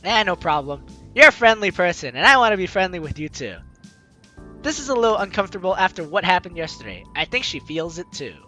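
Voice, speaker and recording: A woman's voice: fairly low pitch, quick pace. A woman hosting a casual let's play, reading game text aloud and reacting chattily. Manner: animated, chatty reactions to the story. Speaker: gaming channel host. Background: game music.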